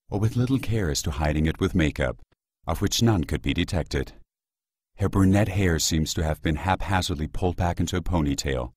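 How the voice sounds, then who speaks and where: A man reading scripted, literary narration for an audio play in a measured way. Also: deep voice, husky, no room sound, clean studio sound.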